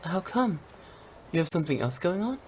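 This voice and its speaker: A friendly young opera singer chats along quickly and curiously. Her voice sits slightly low. Soft music plays faintly behind. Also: very animated. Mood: chatty. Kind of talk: video game voice acting.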